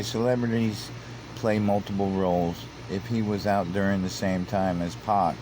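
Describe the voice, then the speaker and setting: Low-voiced man with noisy hum. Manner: repeats words and restarts, grows heated and emphatic. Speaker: commentator reading online comments. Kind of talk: casual commentary.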